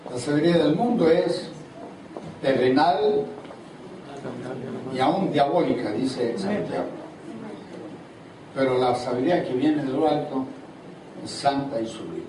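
A man's voice: medium pitch, lively intonation, unhurried, pauses often. An older man preaching at a church seminar, asking the congregation questions as he goes. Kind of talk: seminar sermon. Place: large hall at a church seminar. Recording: echoey hall from far off, audible noise.

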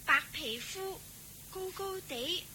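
Very high, bright young daughter. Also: female voice, expressive, takes it slowly, slight background noise, heavily acted. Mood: earnest. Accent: Hong Kong Cantonese. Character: earnest, admiring young daughter of the household. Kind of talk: radio drama acting.

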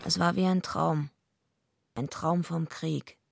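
Creaky-voiced, naive tough young wrestler. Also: female voice, low voice, steady tone, takes it slowly, very noisy, full character voice. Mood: wry, tense narration. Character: naive, tough young female wrestler narrating her misadventures. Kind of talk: radio drama acting and first-person narration.

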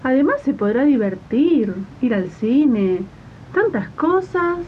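A woman's voice: sing-song, slow, exaggerated voice. A woman playing a persuasive, well-off city employer who coaxes and reassures in a 1980s radio drama. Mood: coaxing. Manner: coaxing, reassuring. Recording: muffled noisy archive radio tape, rumble.

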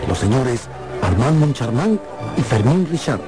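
A man narrating a radio drama in a theatrical storytelling way, with deliberate pauses. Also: medium pitch, sing-song, crowd noise. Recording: music behind the voice.

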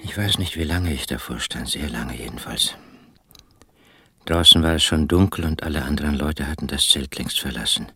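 An actor playing a brooding country man who narrates an eerie radio play, deliberate and hushed, often sinking toward a whisper. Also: rumbling low, breathy edge, exaggerated voice. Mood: hushed, fascinated. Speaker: first-person narrator.

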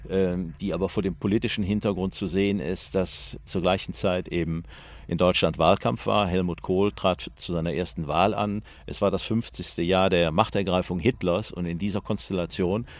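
A man speaking as an expert, explaining in an unscripted, slightly halting documentary interview style. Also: low voice, steady tone. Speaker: expert commentator.

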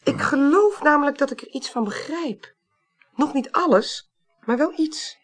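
A woman's voice: sits high, animated, unhurried, faint music behind. An actress in a 1980s radio drama playing a courteous, persistent woman investigator who speaks thoughtfully and precisely. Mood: keen, thoughtful.

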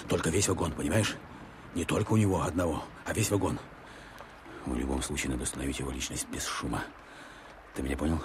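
An actor playing a gruff, wary Soviet army captain who gives terse, authoritative, secretive orders in a war radio drama. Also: male voice, extremely low pitch, fast talker, pauses often, background noise. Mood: commanding, hushed. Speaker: commanding officer.

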